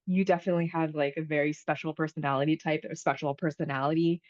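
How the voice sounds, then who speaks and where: A woman in her thirties from the United States, hosting a podcast in a relaxed, chatty way, with lots of fillers and restarts. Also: deep voice, quick pace, no echo, lo-fi sound. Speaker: podcast host. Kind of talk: casual conversation.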